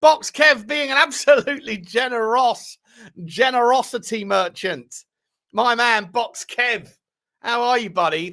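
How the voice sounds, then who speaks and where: Man, high voice, bright tone, live fan stream host, playful hype. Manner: hyped, playful, shouting out viewers. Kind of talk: live football watchalong chat.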